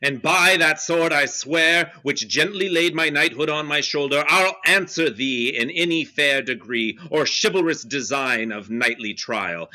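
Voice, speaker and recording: A man's voice: fairly high pitch, bright, forceful tone. A man voicing a proud, wronged nobleman in a Shakespeare reading over a video call, with impassioned, defiant verse delivery. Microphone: video call webcam.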